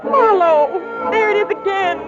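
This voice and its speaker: Casting: excitable mystery lady, high voice, theatrical vintage radio style, slightly dull recording. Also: a little slow. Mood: alarmed. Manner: theatrical, emphatic. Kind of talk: 1940s-50s radio drama acting. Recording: dull old radio, faint music.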